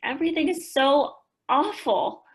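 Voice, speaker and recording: A woman acts an earnest young sister in a radio drama, forgiving at heart but anguished. Her voice is on the high side, with plain emotional delivery. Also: expressive, unhurried. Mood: upset. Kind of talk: radio play acting with narration.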